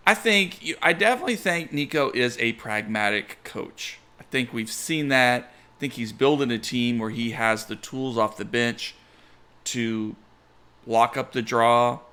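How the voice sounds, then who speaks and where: Man, analytical sports podcast co-host, bright crisp tone, steady middle pitch. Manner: measured, analytical, reads listener questions. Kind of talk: casual sports chat.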